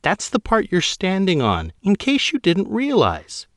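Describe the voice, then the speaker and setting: A man voicing a nervous young woman shuttle pilot in a raised, strained falsetto. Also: huge pitch swings, a little slow, no room sound, clean studio sound. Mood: insistent. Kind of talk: audiobook character voice.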